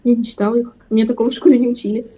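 A young woman from Ukraine talks fast over a phone video chat, her voice a little higher than average but mellow. She is firm and keeps correcting the other person. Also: flat delivery, breathy edge, poor audio. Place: indoor room.

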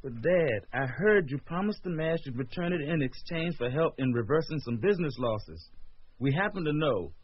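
Questioning son, somewhat high husky male voice, sensible, radio drama, slightly muffled recording. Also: animated, normal speed. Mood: reproachful. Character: sensible, questioning son confronting his father.

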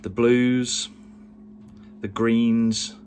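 A man's voice: medium pitch, level tone, extremely slow, a little mellow, a little hiss or hum. A man chats casually, rambling along with frequent ums as he shows viewers around. He sits in the cramped cabin of a narrowboat, recorded on a small action camera. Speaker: vlogger. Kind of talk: casual tour narration. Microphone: handheld action camera.

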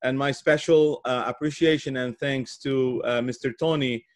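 A middle-aged man from Brazil giving a presentation on a video call, speaking haltingly with repeated words and pauses. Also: mid-range voice, fairly even intonation. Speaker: guest speaker giving opening remarks. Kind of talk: presentation at an online summit. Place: indoor office, on a video call.

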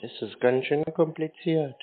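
A man in a casual phone conversation, talking in a naive, slow-witted, stalling way like a confused customer. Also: fairly high pitch. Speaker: prank caller posing as a naive customer. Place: phone call. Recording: tinny band-limited phone line.

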